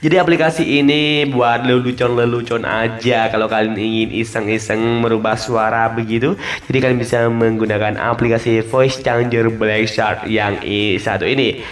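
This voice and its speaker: A man giving a casual, step-by-step app tutorial voice-over, informally repeating instructions and drawing out the ends of phrases. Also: medium pitch. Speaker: tutorial host. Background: music.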